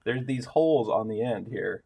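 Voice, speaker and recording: A man's voice: medium pitch, lively intonation, moderate pace, vocal fry, warm tone. A man co-hosting a casual live stream, explaining the assembly as he goes and making dry joking remarks. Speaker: co-host assembling the machine.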